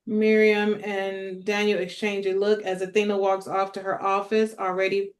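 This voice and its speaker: A woman's voice: mid-range voice, level tone, moderate pace. A woman narrating a script at a table read, reading out stage directions.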